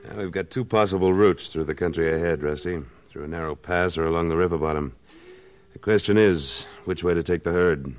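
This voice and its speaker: Very deep, stern cattle boss played by a man. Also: fairly quick, close to an everyday voice. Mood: resolute. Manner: terse, authoritative. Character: tough, commanding frontier cattle boss, wary and stern. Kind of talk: 1950s western radio drama acting. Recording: noisy old-time radio recording.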